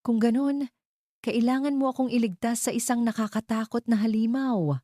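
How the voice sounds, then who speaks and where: A woman's voice: fairly high pitch, moderate pace, warm tone, no echo, studio quality, voice pushed up, close to an everyday voice. A woman voicing a playful, sweet young housemaid who is easily flustered, in an audio drama story.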